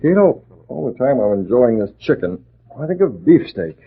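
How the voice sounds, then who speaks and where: An American actor playing a decisive, authoritative newspaper boss in a 1940s radio drama. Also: male voice, mid-range voice, very animated, moderate pace, husky. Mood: reflective. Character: brisk, decisive newspaper boss investigating rackets. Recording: tinny, narrow 1940s radio recording.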